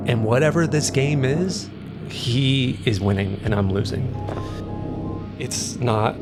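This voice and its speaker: A man acting a haunted, anxious rideshare driver in a naturalistic thriller, halting and repeating his words, with music behind him. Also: medium pitch, close to an everyday voice. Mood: uneasy, earnest. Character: haunted, anxious male rideshare driver recounting strange pickups.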